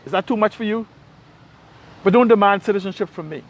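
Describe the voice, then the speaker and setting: A man with a Bahamian accent speaks in a notably high voice for a male. He comes across as an impassioned political commentator. His delivery is emphatic, leaning on repetition and pointed rhetorical questions to press each point. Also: a little fast, lo-fi sound.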